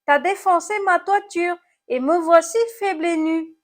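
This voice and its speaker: Woman as an indignant, high-voiced little snail. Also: unhurried. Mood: indignant, wailing. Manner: indignant, moaning. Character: small horned snail, indignant, moaning, feeble victim.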